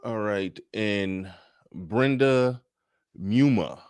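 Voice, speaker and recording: A man hosting a casual panel discussion, relaxed and repeating words as he thinks aloud. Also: fairly low pitch, extremely slow, clear, resonant tone, no echo. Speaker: host. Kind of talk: casual panel talk show.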